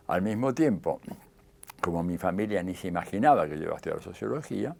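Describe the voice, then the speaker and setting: Gravelly older Argentine storyteller. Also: male voice, lowish voice. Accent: Rioplatense Argentine. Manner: animated anecdotal storytelling, acting out quoted dialogue. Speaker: interviewee. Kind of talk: storytelling interview.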